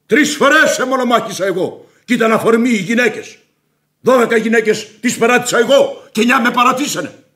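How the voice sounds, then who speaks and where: An actor in a radio play playing a hot-tempered, sarcastic middle-aged gentleman creditor who declaims theatrically. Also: very high voice, expressive. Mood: ranting.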